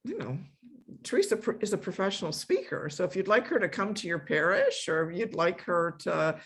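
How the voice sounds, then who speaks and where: A woman's voice: fairly low pitch, very animated. Effusive middle-aged woman hosting, casual and emphatic. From born in Poland, grew up in a Polish immigrant family. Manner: effusive, emphatic repetition, quick to laugh. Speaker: host of an online meetup. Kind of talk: casual chat, thanking a guest.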